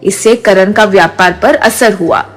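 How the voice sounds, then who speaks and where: A woman's voice: mid-range voice, measured pace, fairly bright tone. A woman reading a scripted moral story as narrator, with music behind.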